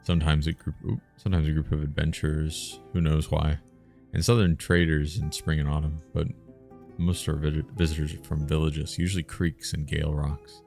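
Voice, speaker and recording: A man with a gravelly voice casually reading a game story aloud as a streamer, bantering as he goes. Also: very deep voice, level tone, normal speed, a little mellow. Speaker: live streamer reading a visual novel aloud. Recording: music behind the voice.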